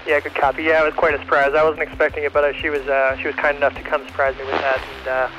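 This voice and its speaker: A man talking steadily over ham radio with measured pauses, sounding dull through the radio link. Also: fairly high pitch, flat delivery, music in the background. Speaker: airline pilot on ham radio. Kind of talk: casual radio chat.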